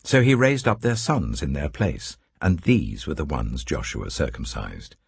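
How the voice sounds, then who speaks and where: A man reading scripture as a scripted narration, in a solemn, measured storytelling manner with deliberate phrasing. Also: rumbling low, very animated, creaky, slightly rough, no room sound. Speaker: Bible narrator.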